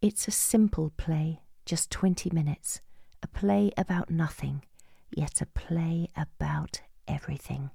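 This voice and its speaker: A middle-aged woman reading a scripted podcast introduction in a measured way, hushed at phrase endings. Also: low voice, creaky, breathy edge, no room sound, studio quality. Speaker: podcast host and playwright.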